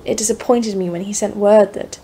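An earnest female expedition leader, played by a woman in an audio play. She recounts events in formal, literary first person at a medium pitch. A faint noise lies under the narration. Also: steady tone, fairly quick, lightly acted. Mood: eager.

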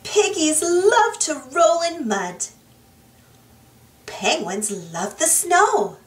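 A woman reading a children's storybook aloud in an expressive, singsong way. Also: extremely high pitch, extremely slow. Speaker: storybook reader, channel host. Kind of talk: children's read-aloud narration. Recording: roomy, distant mic, soft music behind.

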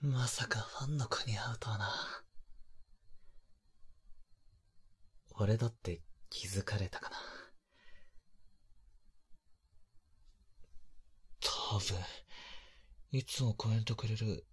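A male voice actor playing a polite, flustered young man in a romantic voice drama, gentle and hesitant, with a soft breathy delivery. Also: fairly low pitch, normal speed. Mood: quiet musing. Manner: hesitant, bashful, apologetic, gentle.

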